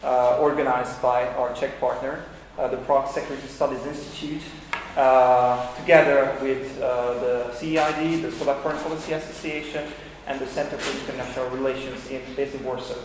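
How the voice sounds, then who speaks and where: A man of middle pitch opens a panel event in a formal but hesitant way, filling gaps with frequent filler sounds. His voice carries through a roomy hall with a long reverb tail and a faint hum of noise. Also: steady tone, fairly quick. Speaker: moderator, think-tank director. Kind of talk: opening remarks at a panel event.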